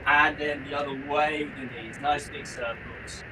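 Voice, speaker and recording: Man, workshop leader, upbeat coaching, slightly raised pitch, distant mic, noisy recording. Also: steady tone, moderate pace. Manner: upbeat, encouraging. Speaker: practitioner leading a warm-up class. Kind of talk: live exercise instruction. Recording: noisy room sound, far from mic.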